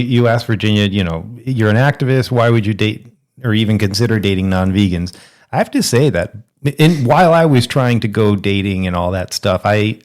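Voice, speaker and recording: Male co-host, lowish voice, reflective. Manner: thoughtful, self-deprecating. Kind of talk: casual podcast chat.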